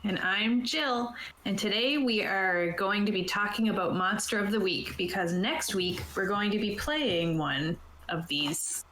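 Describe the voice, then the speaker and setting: A woman's voice: mid-range voice, animated, a little slow, a bit bright. Woman chatting casually in an echoey room. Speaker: podcast host and game keeper. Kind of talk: casual chat on a livestream. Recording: roomy echo, faint background noise.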